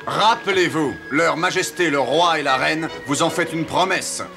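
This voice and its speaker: An actor playing a resolute, persuasive explorer admiral in an animated historical series, speaking with declamatory authority. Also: male voice, on the high side, normal speed, gravelly, music in the background.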